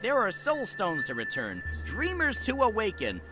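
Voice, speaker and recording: Cheeky crow with wildly swooping pitch. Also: male voice, wildly swooping pitch, normal speed, music in the background. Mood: playful bluster. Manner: sarcastic, chatty, theatrical. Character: wisecracking talking crow, male sidekick, cheeky but loyal. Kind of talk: video game character acting.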